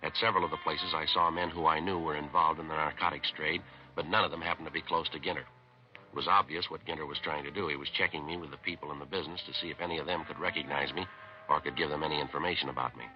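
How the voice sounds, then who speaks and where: A man acting and narrating as a terse, hard-boiled American police detective in a 1950s radio drama, clipped, deadpan and matter-of-fact. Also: very deep voice, quick pace. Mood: flat, matter-of-fact. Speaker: lead actor and narrator. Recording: muffled vintage 1950s radio recording.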